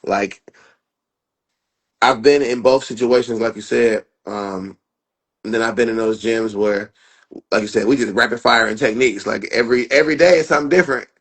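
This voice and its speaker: A man's voice: mid-range voice, normal speed, fairly bright tone, husky. A man who is a fighter, a guest in a casual interview, talking loosely with many "you know what I'm saying" fillers.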